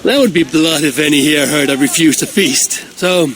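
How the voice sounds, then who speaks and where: An actor playing a shrewd Viking clan leader, dry and guarded. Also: male voice, a little high, normal speed, fairly bright tone, close to an everyday voice. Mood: wry. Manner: dry, wary.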